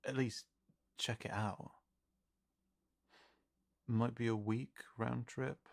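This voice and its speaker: A man playing an easygoing, gently supportive fantasy companion in an audio roleplay, speaking softly and haltingly. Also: medium pitch, fairly even intonation, gravelly. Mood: thoughtful, hushed. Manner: halting, soft-spoken, reassuring. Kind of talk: intimate audio roleplay acting.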